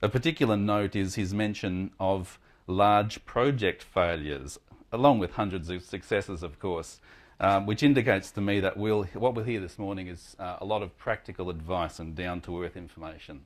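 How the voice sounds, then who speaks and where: A man with a fairly low voice hosts a conference session. He introduces the speaker informally, in a chatty, jokey way with some hesitation. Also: moderate pace.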